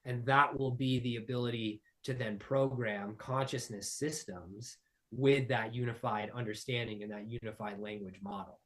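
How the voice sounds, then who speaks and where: Male podcast guest, mid pitch, long rambling abstract musings. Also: fairly even intonation, normal speed. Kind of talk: casual spiritual conversation.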